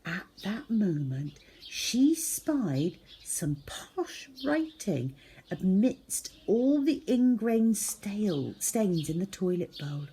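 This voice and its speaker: A woman reading a children's book aloud as a storyteller, in an expressive, theatrical manner. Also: medium pitch, slow pace, breathy edge. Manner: animated, theatrical, with acted-out sound effects.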